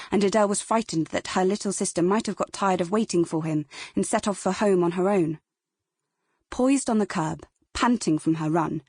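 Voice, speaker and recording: A woman's voice: fairly low pitch, darkish tone, husky, no echo, studio quality. A woman with a British accent narrating dramatic fiction as a scripted audiobook.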